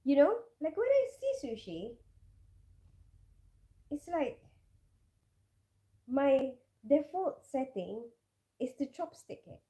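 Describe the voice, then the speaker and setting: Lady rambling to her viewers, kinda high voice, loose and chatty. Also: huge pitch swings, slow, pauses often, mellow. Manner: chatty, many fillers, reads out comments. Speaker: vlogger. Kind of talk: casual live chat with viewers.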